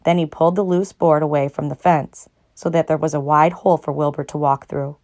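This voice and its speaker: A woman with a fairly low-pitched voice reads a children's story aloud. She narrates expressively, as a storyteller. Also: fairly even intonation, fairly quick, a little mellow. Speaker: narrator, read-aloud reader.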